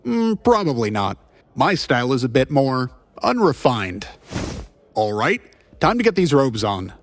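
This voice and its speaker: A man playing a wisecracking, awkward private detective with a rough ex-cop edge in an audio drama. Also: medium pitch, very animated, unhurried, no echo. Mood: wry banter. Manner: flustered stammering, flippant quips.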